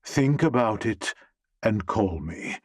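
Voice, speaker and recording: A man's voice: on the low side, animated, takes it slowly, darkish tone, dry recording, clean studio sound. A man voicing an imperious, wealthy old grandmother with a commanding, haughty, emphatic manner in an audiobook. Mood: proud, firm.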